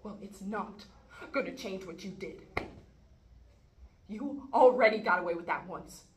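A woman playing a guarded, exasperated sister in a stage scene, halting at times and sharp at others. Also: medium pitch, close to an everyday voice. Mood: angry accusing. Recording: echoey room, faint background noise.

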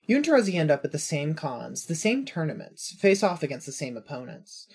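Fiction podcast narrator, androgynous mid-pitched voice, heavily husky, reading scripted prose. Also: sing-song, fairly quick, a little mellow.

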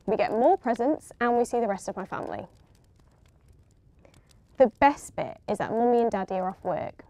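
A woman's voice: fairly high pitch, warm tone, no room sound. A woman in her twenties reading stories aloud and presenting them to camera. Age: 20s. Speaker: story reader presenting children's stories.

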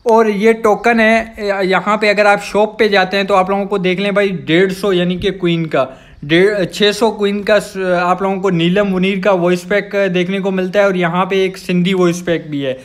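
A man's voice: high-pitched, steady tone, normal speed. A young man with a Pakistani accent giving a casual gameplay explainer as a gaming channel host, addressing viewers in a repetitive, explanatory way.

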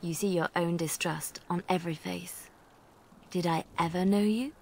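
Fantasy game role: a wounded but resolute young bard, low register, terse. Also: female voice, fairly even intonation, normal speed, gravelly. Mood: quiet sorrow. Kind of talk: dramatic game dialogue. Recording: faint background noise.

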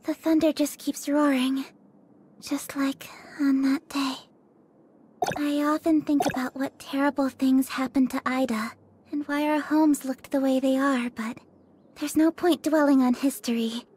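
An actress voicing a gentle, earnest young nurse in a video game, with soft, polite and melancholy delivery. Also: female voice, high-pitched, steady tone, a little slow. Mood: gentle, wistful. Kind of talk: video game character voice lines.

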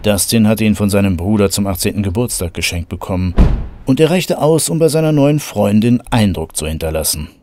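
Low-voiced male story narrator. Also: lively intonation, brisk, a little mellow, slight background noise. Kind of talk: scripted horror story narration.

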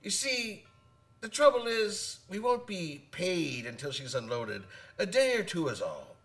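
A man's voice: high-pitched, big pitch swings, unhurried, fairly bright tone, soft background music, exaggerated voice. An actor in a radio drama playing a hearty old one-eyed sailor who spins boastful yarns in folksy nautical talk, repeating his phrases. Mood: jovial, wheedling. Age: older.

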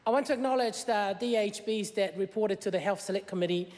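A woman's voice: mid-range voice, quick pace, darkish tone. A middle-aged woman whose first language is Tongan, giving an earnest parliamentary speech at a desk mic, with many fillers and rising emphasis. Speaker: member of parliament. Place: large wood-panelled debating chamber. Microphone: desk microphone. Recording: long chamber reverb.

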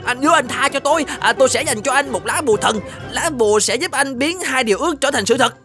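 A woman's voice: sits high, animated, quick pace. A woman voicing a pleading talking monkey in a fairy-tale cartoon, with hesitant little interjections. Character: pleading talking monkey caught stealing food. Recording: music behind the voice.

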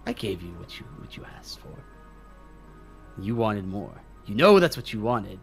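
A man plays a proud vampire army leader in a game roleplay. His voice is on the low side, haughty and scornful, dismissive of lesser creatures. Music sits behind him. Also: sing-song, moderate pace. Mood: defensive. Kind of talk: amateur game roleplay acting.

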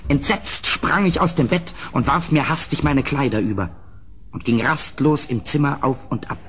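A man playing an anxious, well-mannered houseguest in a gothic horror radio play, with a grave, literary delivery and a husky voice. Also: medium pitch, moderate pace, a bit bright. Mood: grave, dread.

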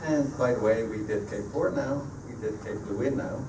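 A Dutch-accented native Dutch man in his middle years fielding questions haltingly at a club evening, heard from the back of a reverberant room. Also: mid-range voice. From Netherlands. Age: middle-aged. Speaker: guest speaker, sailor. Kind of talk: answering audience questions at a public talk. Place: meeting room at a sailing club. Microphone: handheld PA microphone, recorded by a camera across the room. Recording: echoey room, distant camera microphone, noisy.

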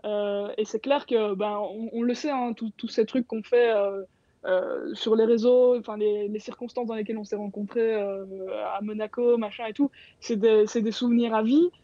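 A woman's voice: on the high side, fairly even intonation, normal speed, dark tone, no echo. A woman in her thirties from Belgium, a racing driver chatting candidly and informally as a guest on a live video call. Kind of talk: casual live chat. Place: small room at home. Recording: muffled video-call audio.

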